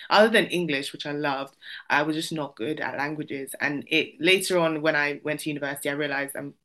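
Chatty young uk woman on a podcast, low voice but bright and forceful, lots of likes and ums. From United Kingdom. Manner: chatty, many fillers and restarts. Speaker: podcast guest. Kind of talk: casual chat.

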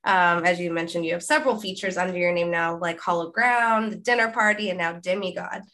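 A young woman hosts a casual podcast chat. Her voice is bright and forward, and she talks loosely, with plenty of "like" and small fillers. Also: lowish voice, fairly even intonation, fairly quick. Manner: chatty, with "like" and laughs. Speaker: podcast host.

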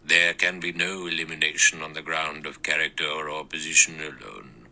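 A man voicing an elderly male judge with a small, clear, thin and sour voice, speaking slowly and measured. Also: extremely low pitch, steady tone, pushed and bright, full character voice. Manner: slow, measured, dry.

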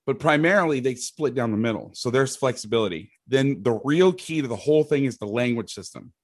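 A middle-aged man, a football coach with a loud voice, talking through defensive schemes in a rambling, casual way, often restarting his sentences. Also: mid-range voice, expressive, fairly quick, no room sound, clean studio sound. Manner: rambling, restarts sentences, occasional mild swearing. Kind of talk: casual podcast chat, explaining defensive schemes.

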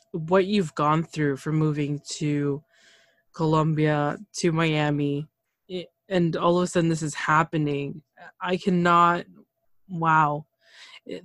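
A woman from Canada hosting a casual interview podcast, chatty and quick to exclaim. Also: deep voice, dry recording, studio quality. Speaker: podcast host.

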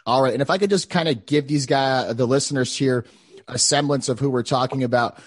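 A man's voice: mid-range voice, fairly quick, no echo, clean studio sound. A man in his late thirties hosting a podcast, talking intensely and swearing for emphasis. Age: 30s. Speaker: podcast host. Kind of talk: motivational podcast talk.

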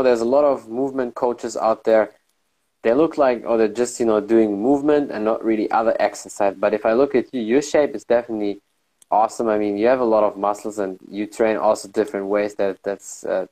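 Eager male podcast host, low voice. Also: dark tone, rough recording. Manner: eager and complimentary. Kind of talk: casual interview.

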